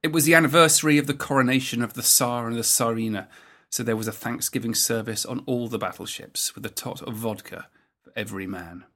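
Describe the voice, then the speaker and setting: A man reading scripted historical documentary narration in a measured, factual way. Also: mid-range voice, a bit bright. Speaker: narrator of a naval history programme.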